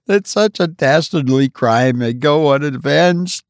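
A frantic middle-aged wife whose raised voice swings wildly between pleading and fury, as a male narrator puts her on. She sounds high and strained, and the reading is dry and close, with no room around it. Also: lively intonation, unhurried, no echo. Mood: desperate. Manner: pleading, shrill outbursts. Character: distraught middle-aged wife, vengeful and desperate. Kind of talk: audiobook character voice.

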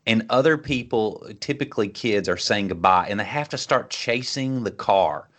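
Male podcast host, low voice, measured and orderly when discussing movies. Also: fairly bright tone. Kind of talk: film discussion with prepared plot recap.

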